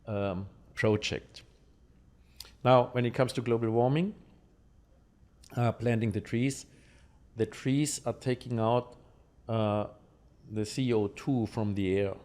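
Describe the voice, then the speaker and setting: A man from Germany with a German accent, explaining things conversationally and haltingly in an interview, checking in with his listener. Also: medium pitch, a little mellow.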